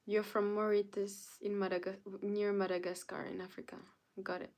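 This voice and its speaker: A woman's voice: medium pitch, little pitch movement, moderate pace, darkish tone. A young woman from Canada hosting a casual live stream, greeting viewers by name and answering their chat comments. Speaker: livestreamer.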